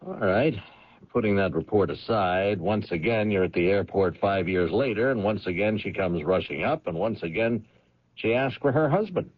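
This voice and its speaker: A dogged police lieutenant in a radio drama. His voice is very low and swings expressively as he puts skeptical, pointed questions. The old radio recording is dull and close. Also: lively intonation, no room sound. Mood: probing, skeptical. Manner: pointed questioning with deliberate pauses.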